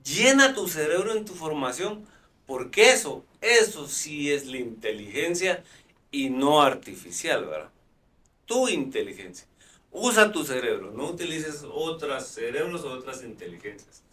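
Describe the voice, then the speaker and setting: A man's voice: fairly high pitch, very animated, unhurried, fairly bright tone. A man hosting a live talk show, chatting with many fillers and restarts. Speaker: host. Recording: roomy, distant mic.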